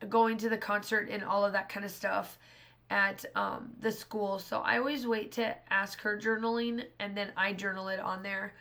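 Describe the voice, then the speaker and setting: A woman in her thirties with a hoarse, scratchy voice, casually talking through a scrapbooking craft project in a rambling way. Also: medium pitch, a bit bright. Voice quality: rambling, restarts often. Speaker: scrapbooking channel host. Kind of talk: casual voice-over narration of a craft process.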